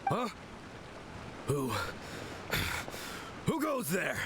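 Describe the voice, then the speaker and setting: A creaky, overwrought young man, drags out his words and swings wildly in pitch. He is a cowardly would-be manly type in an anime game, over a clear rumble. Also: wildly swooping pitch, full character voice. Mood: panicked. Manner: theatrical, halting, overwrought. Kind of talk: anime video game voice acting.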